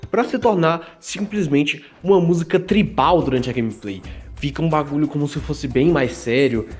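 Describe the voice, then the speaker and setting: A man's voice: a little high, a little mellow. Young Brazilian man, casual game review. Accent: Brazilian Portuguese. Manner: informal and slangy, with dry sarcastic asides. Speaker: gaming video host.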